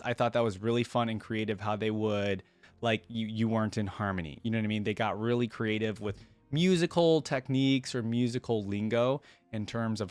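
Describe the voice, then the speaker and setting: A man's voice: fairly low pitch, dry recording. Informal man reacting, dry close sound. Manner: informal, rambling, enthusiastic. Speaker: reaction video host. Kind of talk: casual reaction commentary.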